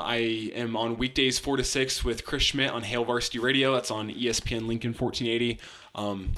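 A man's voice: mid-range voice, sharp tone. A young man in his twenties with a practiced radio voice, co-hosting a casual sports podcast with jokey, self-deprecating banter.

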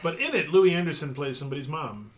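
A man's voice: a little high, sing-song, quick pace. A man co-hosting a podcast and running the game, making dry, understated jokes. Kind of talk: casual chat while playing a game.

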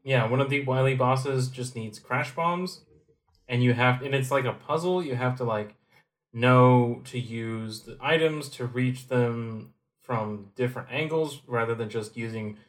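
A man co-hosting a podcast, chatting casually about a game with relaxed, dry asides. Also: medium pitch, measured pace. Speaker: podcast co-host.

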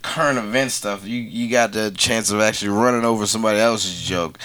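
A man's voice: fairly low pitch, fairly even intonation, brisk, fairly bright tone. A man who does stand-up comedy, chatting casually on a podcast in slangy, profane, colloquial speech.

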